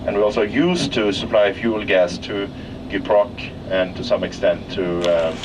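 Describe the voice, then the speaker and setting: A man from Norway with a Norwegian accent, guiding visitors around an industrial site and pausing often to search for English words. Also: on the low side. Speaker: tour guide at an industrial plant. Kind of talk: guided tour commentary. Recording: distant voice under loud outdoor traffic noise.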